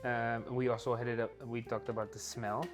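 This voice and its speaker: Halting, food-loving guest chef man. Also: medium pitch, little pitch movement, over music. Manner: halting, repeats words, enthusiastic about food. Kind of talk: casual chat while cooking. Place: kitchen.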